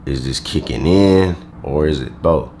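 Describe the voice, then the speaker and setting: Groggy young man, very low sleepy voice. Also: measured pace, warm tone, slight background noise. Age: young adult. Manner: slangy, rambling, lots of fillers. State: groggy, just woke up. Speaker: vlogger. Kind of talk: casual vlog talking to camera. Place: bedroom at home, indoors.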